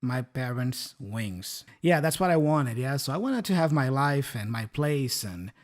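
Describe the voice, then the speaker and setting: A man's voice: medium pitch, expressive, unhurried. Brazilian man, friendly teaching chat. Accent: Brazilian Portuguese. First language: Portuguese. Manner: friendly, often checks in with "right?" and "yeah?". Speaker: English teacher and podcast co-host.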